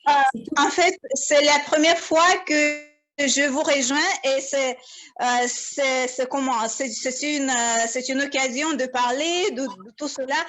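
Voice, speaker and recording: A woman speaks slowly, in a halting, unsure way, stopping and restarting her sentences again and again. Her voice is a little higher than most. She is heard over a video call. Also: steady tone, fairly bright tone. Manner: halting, many fillers and restarts. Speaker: first-time participant. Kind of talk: hesitant spoken self-introduction.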